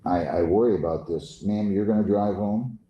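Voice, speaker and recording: A man's voice: lowish voice, moderate pace, lo-fi sound. An American man speaking as a judge from the bench, firm and plain-spoken, repeating points for emphasis in an informal courtroom style. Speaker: judge presiding over a court hearing. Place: indoor courtroom. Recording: roomy courtroom echo with faint noise.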